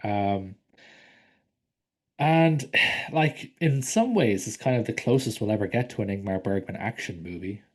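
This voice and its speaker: A man with a lowish voice talks through films on a casual podcast. He rambles along with plenty of ums and likes, and he swears freely. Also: expressive, measured pace. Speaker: podcast co-host. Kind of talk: casual film discussion.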